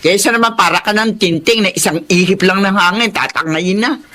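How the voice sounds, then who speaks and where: An actress playing a worried, scolding middle-aged aunt in a radio drama, nagging in an exasperated way. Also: female voice, mid-range voice, brisk, bright, forceful tone, natural voice.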